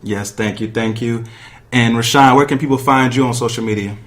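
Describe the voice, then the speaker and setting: A man's voice: medium pitch, steady tone, a little fast. A man hosting a sports podcast in a casual, chatty way, speaking directly to listeners and guests. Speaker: podcast host. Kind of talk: casual sports talk with guests and sponsor reads.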